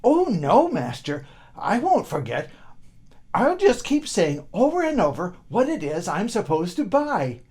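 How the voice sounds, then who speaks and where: A male storyteller voicing a foolish, forgetful young servant boy in a high, eager voice. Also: wildly swooping pitch, measured pace, a little mellow, heavily acted. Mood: eager, innocent. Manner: eager, high squeaky boyish voice. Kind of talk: storyteller's character voice.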